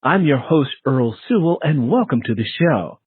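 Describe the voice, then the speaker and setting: A man's voice: on the high side, lively intonation, normal speed, breathy edge. A man hosting a book interview show, reading a scripted introduction and welcoming a guest. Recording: tinny phone-like line, roomy echo.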